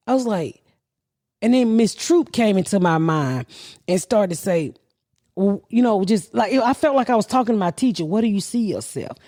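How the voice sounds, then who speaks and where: A woman's voice: mid-range voice, a little mellow, no echo, studio quality. A middle-aged woman, a podcast host telling a long story in a blunt, profane, rambling way. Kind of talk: casual storytelling.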